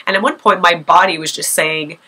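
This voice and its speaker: A woman's voice: on the low side, a little fast, pushed and bright, breathy edge. A young woman vlogger with a cold, sniffling a little, talking casually and thoughtfully to camera with many fillers.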